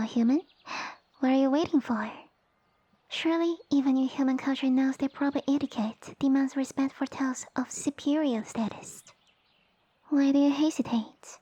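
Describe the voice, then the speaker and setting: A woman voicing a small, haughty young snake-girl noble in an ASMR roleplay, speaking softly with pompous, formal condescension. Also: high voice, dry recording. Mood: impatient. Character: small haughty young lamia noblewoman, sassy and easily offended. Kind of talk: ASMR audio roleplay acting. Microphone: close dry microphone.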